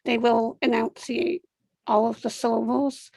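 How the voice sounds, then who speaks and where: Gravelly Canadian woman, dry sound. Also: a little high, a little slow, no room sound. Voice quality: says she has a speech impediment. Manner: relaxed, self-deprecating, laughs easily. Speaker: guest. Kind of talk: casual chat.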